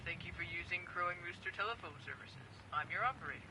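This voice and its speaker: A man's voice: high voice, animated, fast, sharp tone, heavily acted, poor audio. A man playing a polite, chirpy telephone operator in a game, reciting formal customer-service patter.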